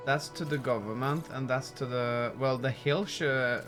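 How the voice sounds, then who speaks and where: A man streaming a video game, reading its text aloud haltingly and chatting casually. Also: mid-range voice, steady tone, fairly bright tone, faint music behind. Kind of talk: casual gaming commentary.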